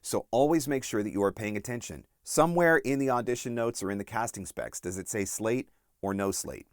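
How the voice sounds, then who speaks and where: A man with a fairly low voice talks straight to the listener like a coach walking through practical tips. He is direct and emphatic, leaning on key words with deliberate phrasing. The sound is close and dry, with very little room. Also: no room sound. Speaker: voice-over coach and voice actor giving audition tips. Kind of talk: instructional video talk to camera, numbered tips.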